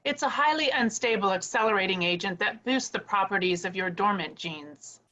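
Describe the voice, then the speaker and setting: A woman's voice: medium pitch, moderate pace, bright, forceful tone, lightly acted, low-quality recording. A woman playing a nerdy, fretful lab scientist in a comic table read, explaining things earnestly.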